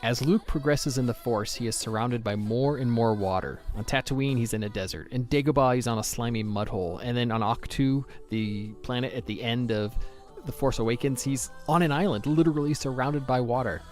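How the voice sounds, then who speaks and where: A man's voice: mid-range voice, brisk, faint music behind. A man hosting a light-hearted quiz interview in a jokey, conversational way. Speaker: podcast host.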